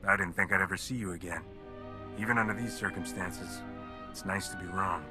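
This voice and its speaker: Man acting a low-voiced roguish starship captain. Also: fairly even intonation, fairly quick, natural voice. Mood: wistful. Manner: dry, wisecracking. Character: cocky roguish male starship captain, dry-humored. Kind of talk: video game cutscene acting. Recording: clear music under the voice.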